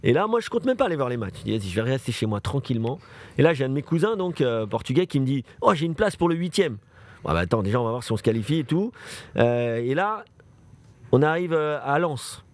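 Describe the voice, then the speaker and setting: Animated Frenchman telling football stories. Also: mid-range voice, fast. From France. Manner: animated storyteller, repeats phrases for emphasis. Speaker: football show presenter. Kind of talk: casual chat on a livestream.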